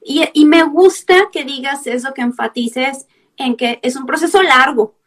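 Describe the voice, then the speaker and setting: A woman's voice: high-pitched, a little slow, fairly bright tone. A woman hosting an interview as a fellow nutritionist, asking questions and giving friendly advice.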